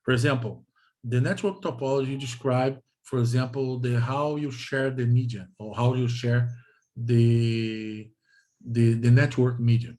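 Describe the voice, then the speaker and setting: A male lecturer with a Brazilian accent and Portuguese as his first language explains technical material. His voice sits at an average pitch. He proceeds haltingly in non-native English, restating phrases and pausing to check that listeners follow. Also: fairly even intonation, dark tone. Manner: halting, repeats and checks with "okay?".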